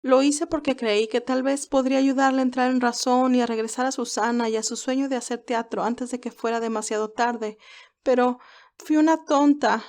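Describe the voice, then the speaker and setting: A woman's voice: high voice, fairly even intonation, normal speed, darkish tone, pitched above the performer's own voice. A woman voicing a young, naive, tearful nurse who confesses earnestly in an audio story. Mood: remorseful.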